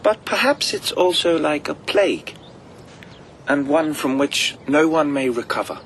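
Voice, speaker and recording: An earnest servant and companion in a radio play. His medium-pitched voice rises and falls a lot, careful and deferential, over a noisy, rumbling background. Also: expressive, measured pace. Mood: thoughtful. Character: earnest, cautious manservant companion to a young master. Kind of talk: radio drama acting.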